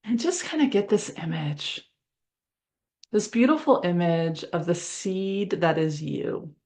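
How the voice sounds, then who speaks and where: A woman leading a guided meditation in a soothing, conversational way, speaking in short phrases with pauses between them. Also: lowish voice, expressive, warm tone. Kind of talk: guided meditation, gentle improvised visualization.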